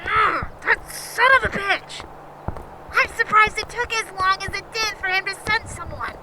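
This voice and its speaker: A woman's voice: very high voice, normal speed. A woman playing a blunt, sarcastic and impatient traveller in a post-apocalyptic audio drama. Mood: angry. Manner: dry, exasperated, blunt. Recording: loud background noise, clicks.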